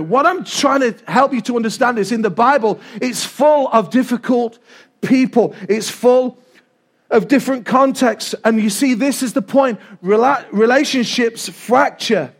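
High-pitched English preacher, a middle-aged man. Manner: animated, loud, conversational, repeats phrases for emphasis. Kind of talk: informal, humorous sermon to a congregation.

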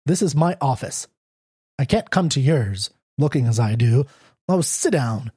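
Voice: medium pitch, lively intonation, unhurried, mellow, slightly rough, no echo, clean studio sound. A reader voicing a proud, down-and-out male writer who talks bluntly and sardonically. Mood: sardonic. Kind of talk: audiobook character reading.

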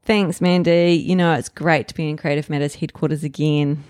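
A New woman interviewing a friend, warm and hesitant with frequent ums. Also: low voice, steady tone, normal speed, dry recording, clean studio sound. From New Zealand. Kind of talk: casual interview.

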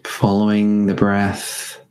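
A man with a low voice leads a guided meditation at a very slow pace. His delivery is gentle and spacious, with short phrases set apart by long pauses. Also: monotone.

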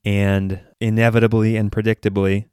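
Low, smooth-voiced male podcast host. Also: level tone, normal speed, darkish tone, dry recording, clean studio sound. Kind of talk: solo podcast talk, partly scripted.

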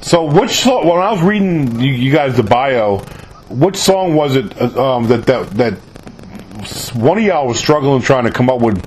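Male interview host, mid pitch, casual and enthusiastic; faint background noise. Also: animated, moderate pace, low-quality recording. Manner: enthusiastic, chatty, says "man" often.

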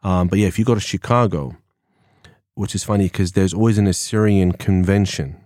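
A man with an English accent and a very low voice, chatting as a podcast guest. He wanders from point to point with lots of ums and restarts, and the studio sound is close and dry. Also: fairly even intonation, fairly quick, darkish tone, no echo. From England. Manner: chatty and digressive, many fillers and restarts. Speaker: podcast guest, former police officer. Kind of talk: casual conversation. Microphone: studio microphone.

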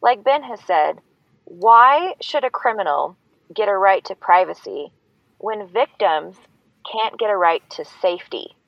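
A woman's voice: medium pitch, expressive, unhurried, creaky, no room sound. A woman playing a nervous, sincere fan calling in to a show in a fiction podcast, hesitant and earnest. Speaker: caller. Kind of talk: scripted fiction podcast acting. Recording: dry, dull call-in line.

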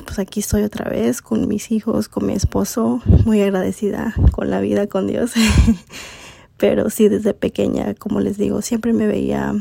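A woman's voice: medium pitch, vocal fry, warm tone, no echo. A woman in her twenties from Mexico, hosting a podcast and chatting casually with many fillers. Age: 20s. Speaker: podcast host.

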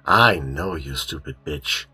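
A man voicing a calm, contemptuous young man who coldly explains a murder in a mystery audiobook. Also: medium pitch, moderate pace, husky, no room sound, voice pushed up, full character voice. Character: cold, scheming young man with a gun.

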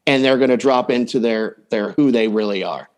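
A man's voice: mid-range voice, fairly quick. A man speaking as a coach and podcast guest, giving plain-spoken advice in casual talk and acting out example lines.

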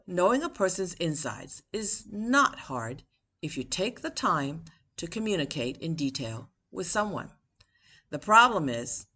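A woman who hosts her own podcast, reading one of her personal essays aloud in a measured, deliberate way with emphatic pauses. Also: low voice, a bit bright, breathy edge. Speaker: author and podcast host.

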